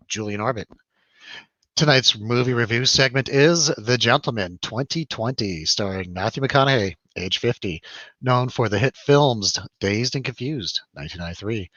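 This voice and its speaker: A man's voice: mid-range voice, sharp tone, no room sound, lo-fi sound. A man hosting a casual live movie review show with jokey, showy announcer-style introductions.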